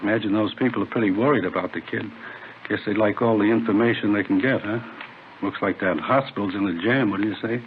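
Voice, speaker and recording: A man playing an ingratiating American hotel clerk, low-voiced and quick. He hedges and wheedles like a money-minded informant in old radio drama, heard on a thin, tinny recording. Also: slight background noise, natural voice. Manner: hedging, wheedling, talkative. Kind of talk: 1950s radio drama acting.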